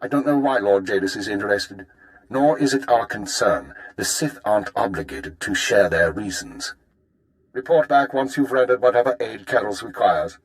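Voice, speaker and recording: A stern imperial spymaster , played by a man briefs in a fairly low voice for a video game. The speech is formal, measured and full of authority. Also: natural voice, poor audio. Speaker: game voice actor.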